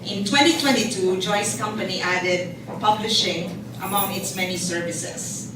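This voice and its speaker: A woman acts as program host, delivering formal prepared introductions. Her voice comes from a distance in a very echoey indoor space, with clear noise in the recording. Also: medium pitch, a little slow. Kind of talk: formal event hosting, reading prepared introductions. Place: indoor event space at a book launch. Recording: echoey hall from far off, noisy.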